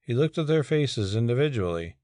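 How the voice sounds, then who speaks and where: A man's voice: medium pitch, sing-song, a little fast, warm tone, dry recording, clean studio sound. A man narrating a dramatic audiobook from a script.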